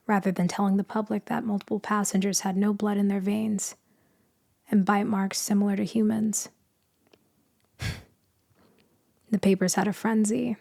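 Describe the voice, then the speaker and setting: Lonely immortal female vampire, played by a woman for ASMR audio roleplay: very slow, creaky, tender and haunted, slipping into whispers. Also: mid-range voice, dry recording, clean studio sound. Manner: soft, hushed, drifting into whispers.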